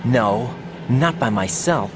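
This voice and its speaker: A man's voice: medium pitch, animated, slow, noisy background. An actor playing an earnest young male rookie special-forces operative in a video game, asking many questions. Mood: tense. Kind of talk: video game cutscene voice acting. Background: game score music.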